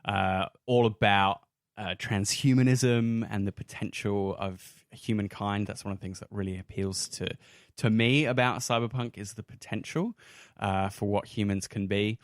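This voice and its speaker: An Australian man chatting casually as podcast host and game referee, with hesitant, halting phrasing. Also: lowish voice, steady tone, no echo, clean studio sound. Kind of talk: casual chat.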